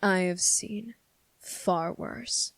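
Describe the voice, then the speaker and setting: An actor playing a brooding, menacing demon with a hidden moral code in an intimate ASMR roleplay, speaking in slow, deliberate, taunting phrases with a gravelly, at times breathy voice. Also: mid-range voice, a bit bright, no room sound. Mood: defensive, brooding. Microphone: close, dry microphone.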